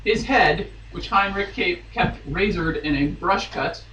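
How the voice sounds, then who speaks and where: A man's voice: high-pitched, measured pace, dull audio. A man giving a theatrical dramatic reading of a romance novel, dropping into amused asides. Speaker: guest reader on a podcast. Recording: roomy, distant mic, faint noise.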